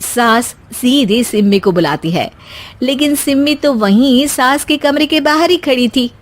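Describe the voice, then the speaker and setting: A woman's voice: sits high, lively intonation, measured pace, a little hiss or hum. A woman giving scripted narration as the narrator of a family story.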